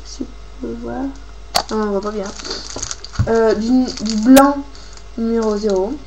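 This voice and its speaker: A woman with a cold gives a casual, halting hands-on craft tutorial, thinking aloud as she works. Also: on the high side, steady tone, lo-fi sound. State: has a cold, coughing. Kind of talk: casual hands-on tutorial, talking while working. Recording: clearly noisy homemade recording with clicks.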